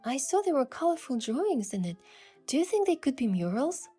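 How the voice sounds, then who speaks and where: A woman voicing a curious young adventurer heroine, telling the story in the first person with earnest, expressive delivery. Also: high voice, fairly quick. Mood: curious, puzzled. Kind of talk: scripted first-person narration and dialogue. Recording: music behind the voice.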